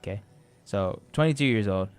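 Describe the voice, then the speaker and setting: A man's voice: on the low side, animated, normal speed, clear, resonant tone. Teasing young man hosting a radio talk show. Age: 20s. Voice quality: teasing, loose and rambling.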